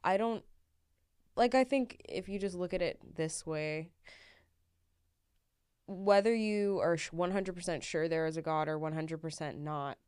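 A woman's voice: fairly low pitch, a little slow, pauses often, a little mellow, smooth, no echo, studio quality. A young adult woman co-hosting and producing a podcast, chatty and casual with lots of "like". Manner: chatty, uses "like" a lot, laughs easily.

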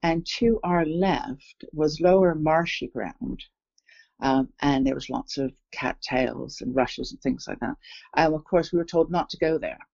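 An older woman with a blend of southern English and Canadian accent tells a story in a wandering, chatty way, stopping often for fillers and restarts. Her voice has a gravelly fry to it. The recording is dull and dry, like a lo-fi remote call. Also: low voice, a little slow, darkish tone, dry recording. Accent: mix of southern English and Canadian. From England, raised in Canada. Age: 60s. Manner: rambling, many fillers and self-corrections. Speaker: guest telling an encounter. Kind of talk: casual storytelling.

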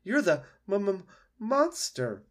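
A man's voice: high voice, sing-song, normal speed, pitched above the performer's own voice. A man voicing a chatty, friendly female opossum with a theatrical, exaggerated delivery for a storybook read-aloud. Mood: excited, dramatic.

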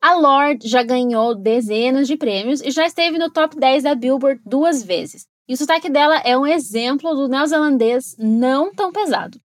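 A woman's voice: sits high, moderate pace, hardly any pauses. A woman with a Brazilian Portuguese accent, hosting an educational podcast and explaining things with enthusiasm and asides to the listener. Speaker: podcast host and English teacher. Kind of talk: scripted educational podcast narration.

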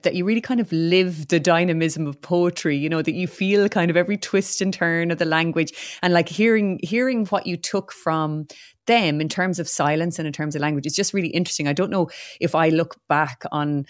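Low-voiced chatty woman interviewer. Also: a little fast, dry recording, studio quality. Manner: chatty, loose, many "kind of" and "you know". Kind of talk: conversational interview questions.

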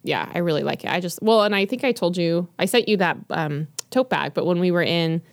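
Chatty low-voiced woman podcaster. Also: expressive, brisk, dry recording, studio quality. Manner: chatty, rambling, many fillers and restarts. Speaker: podcast co-host. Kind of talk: casual chat about books.

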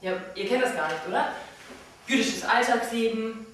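A woman's voice: fairly high pitch, moderate pace, fairly bright tone, far from the mic, a little hiss or hum, lightly acted. A woman playing a wry seventeen-year-old girl on an echoey stage. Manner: wry, chatty, addresses the audience with questions. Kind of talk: stage monologue to the audience.

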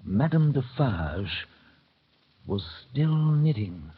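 An actor playing a grave gentleman narrator in a mid-century radio drama, telling the story in measured literary style. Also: medium pitch, big pitch swings, exaggerated voice. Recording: muffled, noisy old radio broadcast.

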